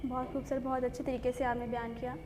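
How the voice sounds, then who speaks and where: A woman hosts a literary talk. Her voice is high-pitched and her manner is polite, formal and appreciative. The room rings with reverb, and there is faint background noise. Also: level tone, fast talker, darkish tone. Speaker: programme host. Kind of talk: hosting a literary discussion.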